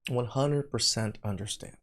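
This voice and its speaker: A man's voice: medium pitch, normal speed. A man from Cambodia hosting a reaction podcast, commenting casually and earnestly, often repeating himself. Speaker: podcast host reacting to a video.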